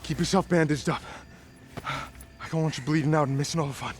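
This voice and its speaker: A man's voice: fairly high pitch, fairly quick, natural voice. A man playing a hot-tempered but loyal military medic in a video game, emphatic and scolding. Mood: gruff, brisk. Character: hot-tempered male soldier medic, loyal, gruff, exasperated, urgent. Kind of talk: dramatic video game acting.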